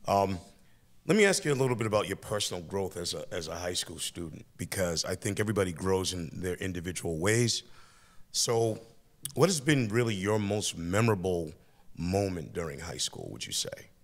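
An older man hosts a school podcast from a small studio, his voice sitting very low. He talks to his student guest in long, reflective, encouraging sentences. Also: expressive, moderate pace. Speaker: teacher and podcast host. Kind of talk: interviewing a student. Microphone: boom-arm studio mic.

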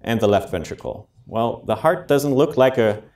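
A German-accented man in his thirties gives a friendly talk on heart rhythm to a community audience. He explains things plainly, often pausing with ums and restarting sentences. He speaks into a microphone in a lecture room. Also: mid-range voice, expressive, darkish tone. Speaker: cardiologist giving a public health talk. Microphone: handheld and podium microphone.

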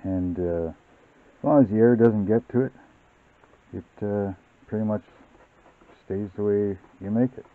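A man hosting a model-building channel, explaining his workbench steps in a relaxed, rambling way with many fillers. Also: fairly low pitch, unhurried, pauses often. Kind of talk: casual hobby tutorial narration. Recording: tinny, phone-like sound.

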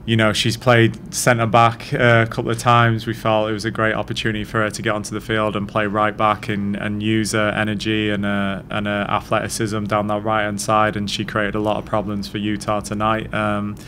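A man in his thirties, a head coach answering post-match questions at a press conference in a measured, thoughtful way, speaking into a desk mic. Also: lowish voice, completely flat delivery, a bit bright, a little hiss or hum. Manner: measured, thoughtful, frequent restarts. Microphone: desk mic on stand.

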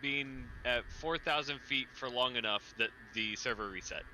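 A man's voice: mid-range voice, moderate pace, pushed and bright, slight background noise, rough recording. A man chatting cheerfully and laid-back over game voice chat. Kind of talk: casual gaming chat.

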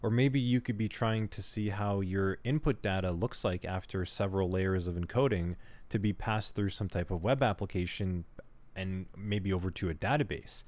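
Relaxed man walking through a tutorial. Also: low-pitched, normal speed. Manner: relaxed, conversational, thinks aloud while working. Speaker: cybersecurity instructor. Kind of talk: screen-recorded tutorial walkthrough.

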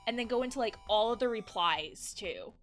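A woman, perhaps in her thirties, giving expressive, exclaiming reaction commentary as she plays a video game. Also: fairly high pitch, moderate pace. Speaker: counselor playing and commenting on a game. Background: game music.

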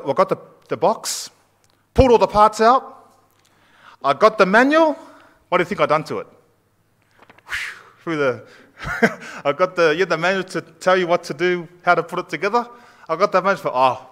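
A man's voice: on the high side, fairly bright tone. Man preaching to a church congregation. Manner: conversational preaching with questions to the congregation. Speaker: pastor. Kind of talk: sermon. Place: large church sanctuary with congregation. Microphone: far camera at back of church.

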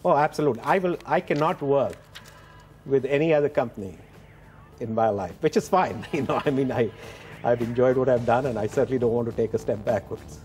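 A man in his fifties answering in a television interview, halting and measured, often restarting his phrases, on a lapel mic in a studio. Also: medium pitch, darkish tone. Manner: halting, measured, with repeated starts. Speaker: business executive being interviewed.

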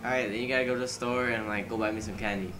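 A man's voice: mid-range voice, fairly even intonation. A man joking and laughing with friends while playing video games. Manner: laughing, joking, quick reactions. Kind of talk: casual chat with friends over gameplay. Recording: noisy game chat capture.

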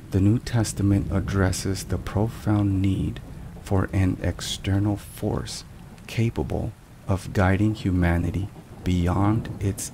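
A man giving a hushed, solemn, measured devotional narration in a soft ASMR style. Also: low-pitched, gravelly. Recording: rain and thunderstorm noise behind. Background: steady rain and thunder.

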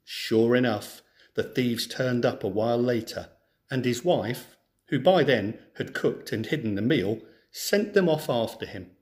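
A man reading a children's story aloud in an expressive, theatrical way. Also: mid-range voice, measured pace. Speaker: storyteller, teacher.

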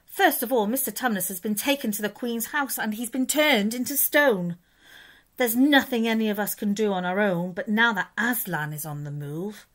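A woman's voice: mid-range voice, normal speed, a bit bright, voice pushed up, lightly acted. Woman doing an earnest, urgent talking beaver. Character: kindly, earnest male beaver.

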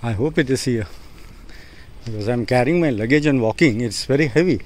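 A man's voice: mid-range voice, brisk. A man, chatting casually to viewers and pointing out the sights as he travels by bus and then walks along a street. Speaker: travel vlogger. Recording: loud road and engine noise.

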